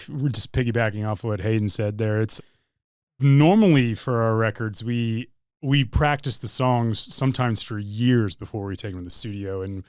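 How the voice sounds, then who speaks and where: Smooth-voiced man chatting loosely. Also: medium pitch, no echo, studio quality. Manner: loose, rambling explanations with frequent restarts. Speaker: band member. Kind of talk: casual interview chat.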